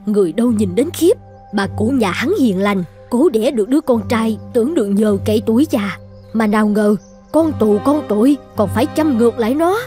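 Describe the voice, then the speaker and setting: Actress as cartoon village woman, gossipy, conversational, wary, raised pitch, over background music. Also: warm tone, close to an everyday voice. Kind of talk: animated folk tale dialogue.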